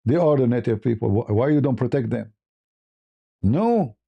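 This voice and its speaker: A man's voice: medium pitch, lively intonation, normal speed, creaky, husky, muffled recording. A man with an Arabic accent giving a blunt, off-the-cuff opinion monologue as a livestream host, repeating phrases for emphasis.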